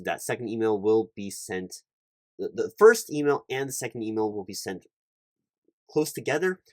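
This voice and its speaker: A man's voice: on the low side, no echo, natural voice. A man playing an officious, polite recruiting manager in a one-sided ASMR roleplay, talking in a wordy, hesitant way and repeating himself. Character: officious, rambling male corporate recruiting manager, polite and awkward.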